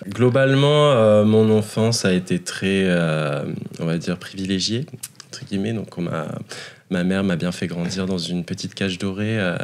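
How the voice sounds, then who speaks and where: A man from Morocco, a podcast guest, speaking hesitantly with many fillers and frequent laughter. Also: low-pitched. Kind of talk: casual interview.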